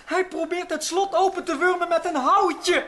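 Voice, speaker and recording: Stammering, frightened young lab helper. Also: male voice, very high voice, steady tone, moderate pace, pushed and bright. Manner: stammering, panicky. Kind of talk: 1960s Dutch radio drama acting.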